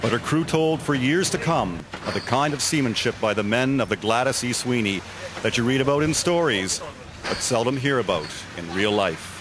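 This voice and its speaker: Very loud sea and wind noise runs behind a man's storytelling narration. His pitch is average, and he reads a scripted historical drama. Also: animated, moderate pace.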